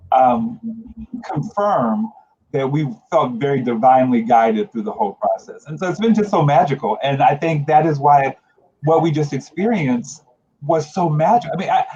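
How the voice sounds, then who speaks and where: Effusive male panelist, higher-pitched and mellow, casual delivery, dull lo-fi recording. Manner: effusive, gushing. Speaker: creative team member, panel guest. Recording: muffled lo-fi audio, clear background noise.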